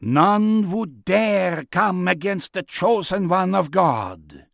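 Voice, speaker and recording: A man voicing an old prophet who proclaims scripture in a booming, righteous, defiant voice. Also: expressive, vocal fry, dry recording, studio quality. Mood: righteous, stern. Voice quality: huge, deep, piercing, booming. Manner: slow solemn proclaiming of scripture.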